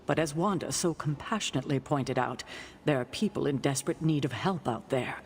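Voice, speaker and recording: An actress playing a stern, wise female mentor witch who speaks firmly and briskly. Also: a bass voice, a little hiss or hum. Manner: firm, brisk, commanding. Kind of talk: video game cutscene acting.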